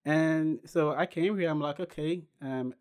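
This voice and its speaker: A young man from Ghana who founded a clothing brand, telling his story unhurriedly as a podcast guest, with pauses and restarts. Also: on the high side, darkish tone. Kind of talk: casual interview chat.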